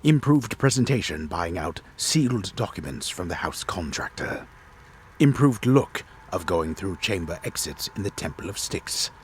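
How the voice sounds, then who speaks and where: Casting: grave storyteller for a video game, played by a man, deep bass register, dramatic swooping delivery, solemn and deliberate announcing. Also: big pitch swings, a little hiss or hum. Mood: grave, theatrical. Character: disembodied male storyteller, grave and theatrical. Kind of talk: dramatic reading of game patch notes.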